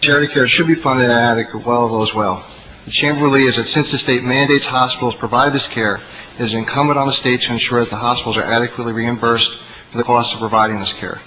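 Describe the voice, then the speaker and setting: A man's voice: medium pitch, fairly even intonation, fast, pushed and bright, rough recording. A man delivering a prepared statement as a witness at a legislative hearing. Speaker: business chamber representative testifying. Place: legislative committee hearing room. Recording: hearing audio with faint noise.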